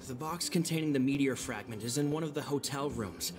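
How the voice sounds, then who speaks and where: A man's voice: mid-range voice, brisk, noisy background, close to an everyday voice. A man voicing a dutiful, courteous guard in a video game, speaking formally. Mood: formal, helpful. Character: dutiful male guard reporting to investigators. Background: game music.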